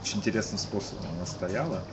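A tired young man from Kyiv answering questions in a casual live-stream interview outdoors, speaking haltingly with many restarts. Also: lowish voice, loud music behind the voice. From Kyiv, Ukraine. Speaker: interviewee, musician. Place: outdoors at a street protest camp. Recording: lo-fi stream with loud outdoor ambience.

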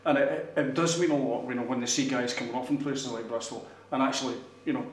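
A male club TV interviewer asks questions in a chatty, conversational way. His voice sits at a middle pitch, recorded in a roomy space with a faint hum underneath. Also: fairly even intonation, quick pace. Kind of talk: post-match interview questions.

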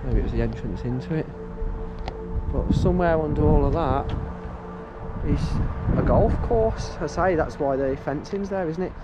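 A man's voice: fairly high pitch, normal speed, lo-fi sound. A man, vlogging as he explores an abandoned site outdoors, thinking aloud and often calling out to his viewers. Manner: chatty, thinking aloud, often says "guys". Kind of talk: casual walking commentary. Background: background music.

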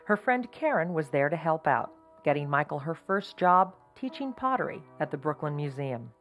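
A woman narrating a documentary in a measured, scripted way. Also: low-pitched, sing-song, lo-fi sound, soft background music.

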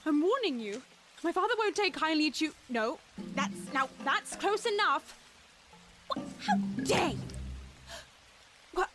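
A woman's voice: high-pitched, very animated, measured pace, pauses often, over music. A young woman with a British accent voicing a flustered, prim Victorian lady in a cartoon fan dub, breathless and stammering. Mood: indignant. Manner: breathless, stammering, chattering, proper. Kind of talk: animated film fan dub acting.

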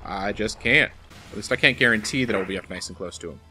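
A man's voice: on the low side, fast talker, bright, forceful tone. A man giving casual, wry and self-deprecating gameplay commentary as he talks to the game he is playing. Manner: wry, self-deprecating, talking to the game's boss. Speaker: let's play host. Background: video game music.

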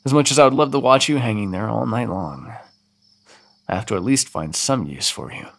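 A man's voice: fairly low pitch, expressive, brisk, creaky. A man voicing a centuries-old male vampire in an audio roleplay, condescending and teasing with sudden threats. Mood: menacing.

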